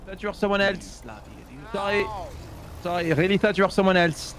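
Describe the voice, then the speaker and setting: A man's voice: high-pitched, little pitch movement, normal speed, a bit bright. A man bantering in a game roleplay, playfully earnest and given to repeating himself. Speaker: fellow roleplay gamer. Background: faint music, game vehicle sounds.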